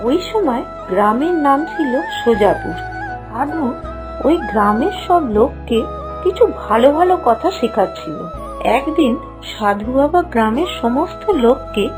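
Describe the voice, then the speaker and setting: Casting: female storybook narrator, bright high register, very melodic delivery, over a music bed. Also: low-quality recording. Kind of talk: scripted children's story narration. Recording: music behind the voice. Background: background music.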